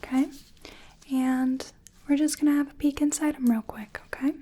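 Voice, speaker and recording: A woman voicing a gentle, attentive clinician in a soft-spoken ASMR medical roleplay, breathy and often whispering, with a reassuring manner. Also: high voice, steady tone, moderate pace, close to an everyday voice. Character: gentle, attentive female clinician giving a check-up.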